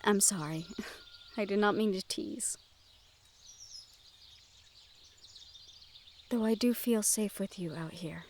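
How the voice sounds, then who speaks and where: A woman playing a sheltered young noblewoman in a fantasy audio roleplay, gentle, wistful and quietly willful, with formal phrasing. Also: mid-range voice, normal speed, slight background noise, close to an everyday voice. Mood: soft, apologetic. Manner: formal speech with few contractions. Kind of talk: fantasy audio roleplay acting, addressed to the listener.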